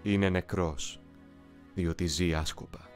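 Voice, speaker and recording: A man playing a young, eloquent, coolly ambitious soldier in an anime fan dub, speaking in a formal, measured, philosophical way. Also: deep voice, fairly even intonation, lightly acted. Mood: grave, philosophical. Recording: music behind the voice.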